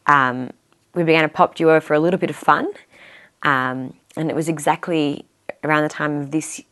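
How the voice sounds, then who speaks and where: A young woman in her twenties, a guest musician with a low voice, chatting casually and filling pauses with "um". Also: fairly even intonation, a bit bright. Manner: chatty, fills pauses with "um", laughs easily. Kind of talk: casual interview chat.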